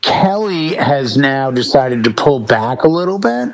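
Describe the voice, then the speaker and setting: A man's voice: mid-range voice, very animated, measured pace, gravelly, low-quality recording. A middle-aged man chatting casually and emphatically about music, telling stories and giving firm opinions as a panelist. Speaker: talk show panelist.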